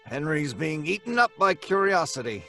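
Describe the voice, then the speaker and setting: A man's voice: a little high, very animated, normal speed, gravelly, pushed and bright, exaggerated voice. An actor playing an earnest, obsessed young scientist telling his grim tale in an old-time radio drama style. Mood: earnest, affectionate. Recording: music under the voice.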